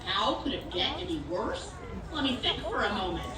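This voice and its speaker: A woman's voice: on the high side, very animated, moderate pace, a loud crowd. A woman playing an exasperated, melodramatic village mother in a children's puppet show, with theatrical, pleading delivery. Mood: desperate pleading. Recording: echoey, distant stage recording.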